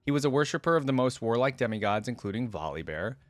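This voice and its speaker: Casual guy doing reaction commentary, informal and into it, normal pitch, really dry mic. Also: big pitch swings, fast, no echo. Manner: enthusiastic, informal, often reacting aloud. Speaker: reaction video host. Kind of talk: casual gaming reaction commentary, reading wiki text aloud.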